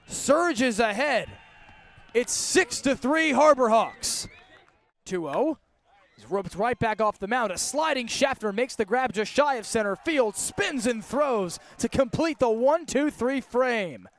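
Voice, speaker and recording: A male baseball broadcaster with a very high-pitched voice delivers live radio play-by-play. He calls the game in punchy, clipped phrases, stretching words out as plays get bigger. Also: lively intonation. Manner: punchy clipped calls that build to drawn-out shouts on big plays.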